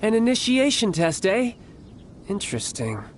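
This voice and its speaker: A man's voice: high-pitched, sing-song, moderate pace, a little hiss or hum. A male actor playing a suave, self-assured young fighter in a video game, teasing and politely confident. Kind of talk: video game dialogue acting. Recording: game music behind the voice.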